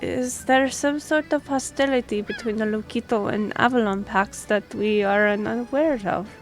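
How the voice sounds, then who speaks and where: A woman's voice: on the high side, moderate pace, faint music behind, lightly acted. A woman playing a courteous half-elf royal liaison in a fantasy audio drama, speaking formally and explaining things with some hesitation. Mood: polite, formal.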